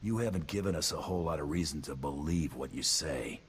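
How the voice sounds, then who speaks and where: A man voicing a cocky, deadpan bounty hunter in a video game, dry and self-assured. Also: very deep voice, brisk, a little hiss or hum. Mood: dry, wry.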